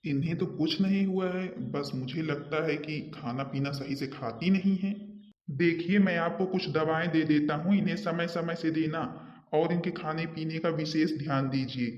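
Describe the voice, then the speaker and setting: A man plays an earnest village doctor in a cartoon comedy. His voice sits a little high and he instructs item by item, repeating himself. The sound is echoey and somewhat muffled. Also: fairly even intonation, moderate pace, close to an everyday voice. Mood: instructive, earnest. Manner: instructive, itemising, repetitive. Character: earnest village doctor giving patient advice.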